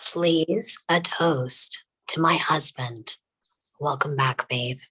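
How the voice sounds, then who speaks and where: A woman playing a poised rich wife in a table read, speaking in a deliberate, showy way. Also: low voice, pushed and bright, breathy edge, full character voice, poor audio. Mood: showy, sweet.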